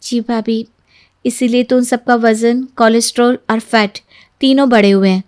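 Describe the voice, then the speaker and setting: A woman storyteller voicing a gentle new daughter-in-law, polite, soft-spoken and earnest about healthy living. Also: fairly high pitch, fairly even intonation, moderate pace, clear, resonant tone, natural voice. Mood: polite, firm.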